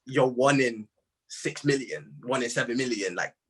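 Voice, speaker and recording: A young man chatting casually in an interview, telling stories in an animated, slangy way with plenty of "bro" and "man". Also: mid-range voice, normal speed, husky. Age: young adult. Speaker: music artist being interviewed. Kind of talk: casual chat.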